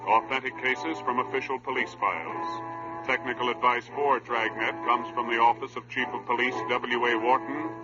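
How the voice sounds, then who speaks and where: A man with an American accent announcing in a formal, crisp, authoritative 1950s radio style. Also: medium pitch, steady tone, normal speed, loud music behind the voice. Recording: muffled old radio broadcast recording.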